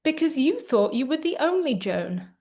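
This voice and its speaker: A woman with a fairly high voice guiding a tapping session. She explains in a gentle, instructive way, full of small fillers, then calmly leads phrase after phrase for her guest to repeat. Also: normal speed. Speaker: therapist and coach.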